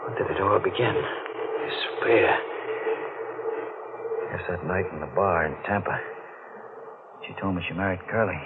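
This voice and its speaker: A man playing a haunted, guilt-ridden deep-sea diver in an old-time radio thriller, brooding and menacing in halting, clipped phrases. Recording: muffled, noisy vintage radio recording.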